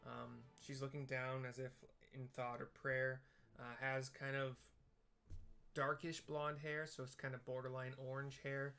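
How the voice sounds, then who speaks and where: Man, mid-range flat voice, hesitant improvised scene description, plain wording, game music in the background. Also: mid-range voice, creaky, clear, resonant tone. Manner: hesitant, halting, searching for plain comparisons.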